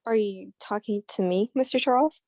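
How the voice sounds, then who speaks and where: A woman's voice: medium pitch, normal speed, a little mellow, close to an everyday voice. A woman playing a guarded, desperate femme fatale killer in a radio detective drama. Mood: defiant, rattled.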